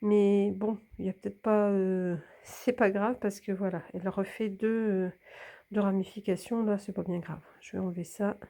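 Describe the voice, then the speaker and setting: A woman's voice: medium pitch, fairly even intonation, moderate pace, gravelly, mellow. A woman with a slight cold talking casually while she handles a hanging plant and films it, often dropping into a whisper. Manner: talks while filming, drops to whispers. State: a bit congested with a cold. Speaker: plant vlogger. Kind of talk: casual hands-on narration.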